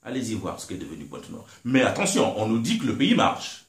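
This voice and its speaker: Indignant man, lively roomy voice. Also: on the low side, a little fast. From Republic of the Congo. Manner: indignant, emphatic, rhetorical. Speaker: guest, political commentator. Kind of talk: impassioned interview talk. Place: living room, seated in armchair. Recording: roomy echo.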